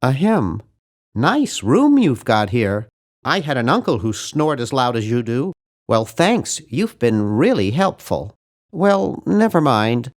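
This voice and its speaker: A man voicing a nerdy, timid young adventure-game hero, bookish and polite, with a nasal voice and an awkward, earnest, fussy manner. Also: medium pitch. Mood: polite, deadpan. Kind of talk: video game character voice lines.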